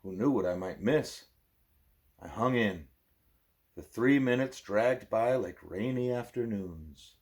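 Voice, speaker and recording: Low-pitched man reading a bedtime story. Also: expressive, takes it slowly, darkish tone. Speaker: parent reading a book aloud to a child.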